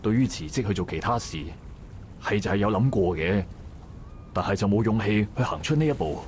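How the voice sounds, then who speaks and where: An actor playing a calm, steady male dockworker who gently reassures a friend in a visual novel. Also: fairly low pitch, brisk, slight background noise, lightly acted. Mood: gentle reassuring. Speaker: game character. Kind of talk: voiced visual novel dialogue.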